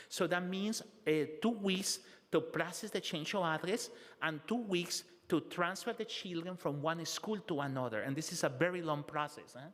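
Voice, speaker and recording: Man, interpreter, first-person interpreting, halting, frequent "eh" fillers, slightly high voice, reverberant council chamber. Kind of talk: consecutive interpretation of public testimony. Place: city council hearing room.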